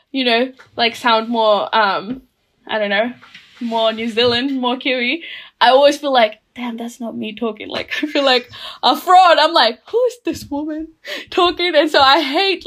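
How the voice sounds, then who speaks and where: A young woman from Rotuma, a guest speaking in casual, reflective conversation, full of "like" and "you know" and quick to laugh. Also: a little high, a bit bright. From Rotuma, Fiji. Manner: chatty, many fillers, laughs easily.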